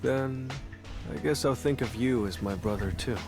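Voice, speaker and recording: An actor playing a calm, reserved young hunter hero who speaks tersely in a game. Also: male voice, medium pitch, normal speed, lightly acted. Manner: cool, terse. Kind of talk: game voice acting. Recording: game audio with music behind.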